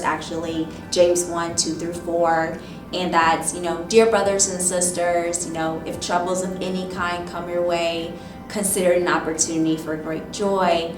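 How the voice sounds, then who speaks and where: A woman in her thirties, a teacher, reflecting thoughtfully in an oral history interview, often pausing with "um" and "you know". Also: on the low side. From Michigan, raised in Ohio. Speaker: teacher and instructional coach. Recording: roomy, distant mic with music behind.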